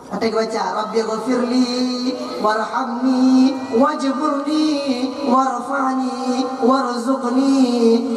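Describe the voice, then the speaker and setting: A man from Aceh with an Acehnese accent preaching an animated village sermon, slipping into slow chanted prayers. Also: extremely high pitch. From Aceh, Indonesia. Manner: animated, mixes local words, chants prayers slowly. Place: village religious gathering. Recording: echoey hall, distant mic, loud music.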